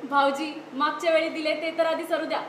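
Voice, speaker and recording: Woman playing a polite modern city mom in a play, high voice, bits of english, noisy echoey recording. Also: flat delivery, brisk, fairly bright tone. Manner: polite, assured, mixes in English words. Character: assured, polite modern city mother, sister-in-law. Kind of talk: stage play acting. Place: theatre stage at a youth festival. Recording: echoey stage, noisy hall.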